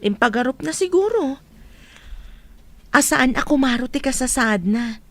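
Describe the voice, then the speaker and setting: A woman's voice: high voice, animated, measured pace, no room sound. A woman playing a kind, supportive village friend in a radio drama, speaking earnestly and with sympathy. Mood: concerned, gossiping.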